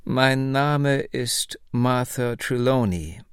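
A man voicing a wistful old woman of nearly a hundred in an audiobook, speaking slowly and with gentle insistence. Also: mid-range voice, clean tone, no room sound, clean studio sound. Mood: measured. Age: older. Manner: slow, measured, gently insistent. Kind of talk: audiobook dialogue.

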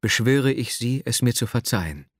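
A man's voice: mid-range voice, animated, moderate pace, no room sound, studio quality. A man playing an earnest, lovesick young man in an audiobook, agitated, self-doubting and urgent. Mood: confessional. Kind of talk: dramatic literary audiobook reading.

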